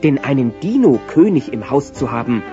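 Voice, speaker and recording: A man's voice: medium pitch, very animated, normal speed, mellow, natural voice. A man acting as the wry narrator of a German children's audio play, reading a scripted story. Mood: wry, animated. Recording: dull sound with music behind.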